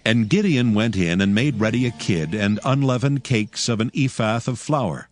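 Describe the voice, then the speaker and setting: A man giving a measured, solemn scripted narration of scripture. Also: lowish voice, animated. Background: music.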